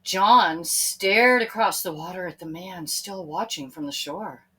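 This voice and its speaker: A woman's voice: fairly low pitch, a little slow, sharp tone, breathy edge, low-quality recording. A woman reading a children's story aloud expressively, speaking to her young listeners in asides.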